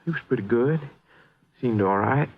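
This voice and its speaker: Folksy rancher, blunt, middling pitch, muffled old radio recording. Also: male voice, lively intonation, normal speed. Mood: plain, dismissive. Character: injured male rancher, plainspoken and dismissive. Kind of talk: 1950s radio acting. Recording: dull vintage radio recording.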